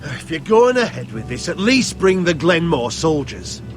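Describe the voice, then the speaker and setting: A protective knight in a fantasy game drama. His voice is high and very animated as he argues with emphatic, hot-headed insistence. Music plays behind him. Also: normal speed. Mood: urgent. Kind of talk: video game dramatic acting.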